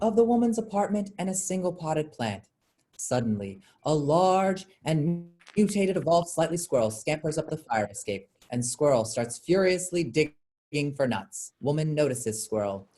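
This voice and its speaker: A woman's voice: low-pitched, dark tone. A woman reading scripted stage directions as narration for a play.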